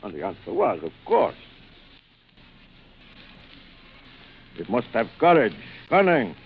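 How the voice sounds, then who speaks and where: An actor playing a suave, cruel aristocratic older general who hunts men, in urbane, theatrical 1940s radio thriller style with savored pauses. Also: male voice, medium pitch, very animated, creaky, husky, exaggerated voice. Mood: proud, sly. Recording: muffled, noisy vintage radio recording.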